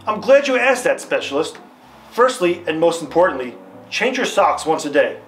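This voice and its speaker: A man's voice: a little high, very animated, normal speed, slight background noise. An American man in his thirties playing an authoritative army captain, giving formal, scripted instruction in a retro military training film. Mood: instructive, assured. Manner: formal, didactic, stilted. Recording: music under the voice. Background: background guitar music.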